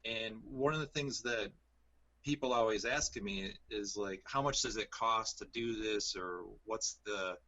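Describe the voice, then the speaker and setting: A man's voice: fairly low pitch, unhurried, sharp tone, lo-fi sound. A man appearing as a guest over a video call, easygoing, filling his talk with "like" and "you know". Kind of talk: casual video-call chat.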